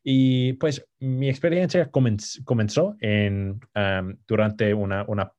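A young American man co-hosting a podcast, speaking Spanish as a learned language. His voice sits a little low. He hesitates often, restarting words and filling gaps with um and uh. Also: fairly even intonation, slow pace, a little mellow, dull audio. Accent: American English. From United States. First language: English. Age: 20s. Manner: hesitant, many fillers and restarts. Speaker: podcast co-host. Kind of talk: casual podcast introduction.

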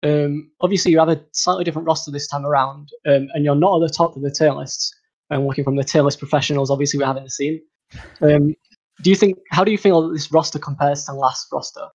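Higher-pitched man hosting, slightly dull sound. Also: fairly even intonation, fast talker, darkish tone. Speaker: host of an esports talk show. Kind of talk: casual hosting of a panel chat.

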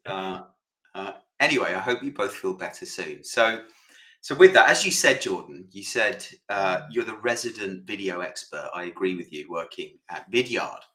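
A man's voice: low-pitched, normal speed. Man podcast host in an echoey room. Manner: relaxed, lots of fillers. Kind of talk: casual podcast chat.

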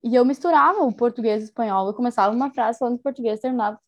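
A woman's voice: fairly high pitch, very fast. A young woman talking casually as an interviewee, chatty and enthusiastic, with many small fillers. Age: young adult. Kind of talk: casual conversation.

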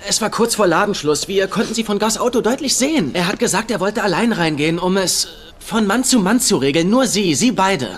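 A man's voice: high-pitched, animated, a little fast, few pauses. An actor playing a cocky, playful young man who poses as a psychic detective, talking in a flippant, theatrical way in a dubbed comedy-drama. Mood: breezy, talkative.

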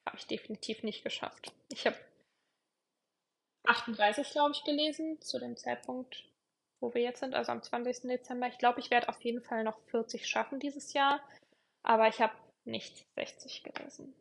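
A woman's voice: fairly high pitch, steady tone, moderate pace, creaky. A young woman hosting her own podcast, chatting casually and reflectively, with many fillers and repeated words for emphasis. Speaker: podcast host.

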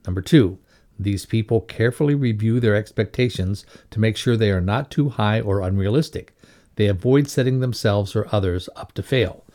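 A man with a dark, relaxed tone and a slightly low pitch reads a scripted lesson aloud. His delivery is instructional narration, laying out leadership values point by point. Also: normal speed.

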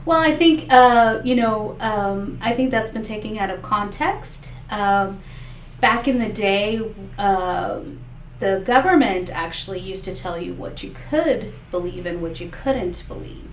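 A woman's voice: mid-range voice, expressive, unhurried. A woman whose first language is Spanish, answering questions as a political candidate, earnest and full of fillers and restarts. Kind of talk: interview answers. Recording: echoey room, mic far off, faint noise.

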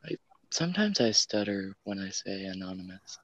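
A man's voice: deep voice, sing-song, normal speed, a bit bright, dry recording, low-quality recording. A man co-hosting a casual podcast over voice chat, teasing his friends dryly and offhand. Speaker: podcast co-host. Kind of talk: casual banter while gaming.

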